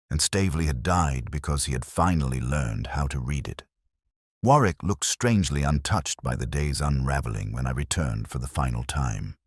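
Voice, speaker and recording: A man performs a reflective, world-weary detective telling his case in the first person. The voice is extremely deep, with an expressive melodic range. The delivery is solemn, measured and literary, and it is recorded dry and close for an audio drama. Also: no room sound, heavily acted. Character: world-weary, reflective male English police detective narrator.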